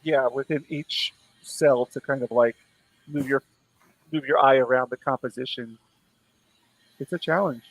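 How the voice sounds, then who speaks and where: A man hosting a live art stream, losing his voice and talking in a relaxed, rambling way with many fillers. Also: medium pitch, measured pace, pauses often, no echo, poor audio. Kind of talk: casual late-night chat.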